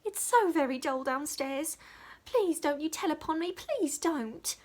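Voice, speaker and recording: High-pitched, timid little English servant girl. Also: expressive, a little slow, a little mellow. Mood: frightened pleading. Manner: pleading, breathless, uneducated speech. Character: small, timid, eager servant girl, downtrodden and naive.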